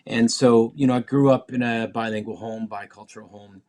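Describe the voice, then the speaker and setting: A man from Mexico City who moved to Canada as a teenager, speaking as a musician being interviewed, reflective and halting as he thinks. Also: mid-range voice, fairly even intonation, a little mellow. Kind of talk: casual conversational interview.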